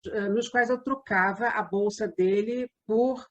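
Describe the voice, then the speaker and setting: An older woman with a softened Rio de Janeiro accent, chatting casually as an interview guest and telling anecdotes. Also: medium pitch, fairly even intonation, a little slow, lo-fi sound. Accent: softened Rio de Janeiro Brazilian Portuguese. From Rio de Janeiro, Brazil. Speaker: interview guest, radio drama performer and producer.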